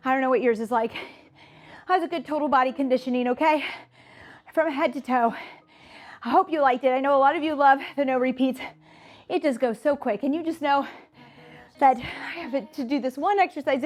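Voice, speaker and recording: A high-voiced woman leads a workout as a fitness instructor, counting and cueing moves. She is chatty and encouraging, slightly stuffed up, with faint music in the recording. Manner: chatty, encouraging, self-deprecating asides. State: stuffed-up nose.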